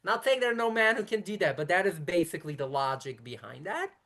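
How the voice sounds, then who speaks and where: A woman rabbi in her thirties from brooklyn, yiddish first language, talks fast in long explaining runs, keeps restarting and fixing her words mid-sentence. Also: lowish voice, lively intonation, a bit bright. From Brooklyn, New York. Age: 30s. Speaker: rabbi, interview guest. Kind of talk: conversational interview.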